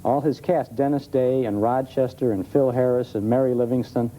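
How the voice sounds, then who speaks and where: A man's voice: medium pitch, steady tone, normal speed, mellow, low-quality recording. A man speaking conversationally as an expert guest, telling stories with enthusiasm on an old television tape. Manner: enthusiastic storytelling. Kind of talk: conversational interview. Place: television studio. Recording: old TV tape, faint noise.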